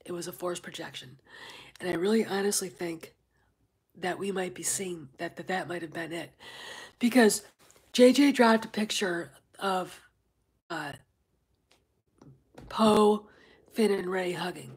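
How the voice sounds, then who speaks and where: A woman's voice: lowish voice, unhurried, pauses often. A woman hosting a casual livestream, a little stuffed up from congestion, rambling with many fillers and breaking off to greet chat viewers. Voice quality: very husky, often near a whisper. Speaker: livestream host.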